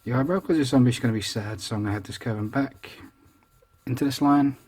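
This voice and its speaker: Husky chatty man talking through a drawing. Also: medium pitch, fast talker, darkish tone. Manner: chatty, self-deprecating, talks through each step. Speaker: drawing tutor. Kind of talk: casual real-time drawing tutorial. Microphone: voice over the drawing, not seen speaking.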